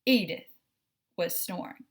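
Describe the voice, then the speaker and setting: A woman hosting a read-aloud, narrating a children's storybook expressively and addressing young listeners directly. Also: slow pace.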